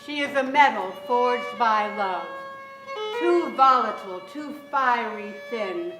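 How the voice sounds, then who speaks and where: A woman's voice: on the high side, animated, slow pace, over music. A mature woman giving a dramatic reading from a script on a theatre stage. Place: theatre stage before an audience. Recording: roomy theatre stage sound.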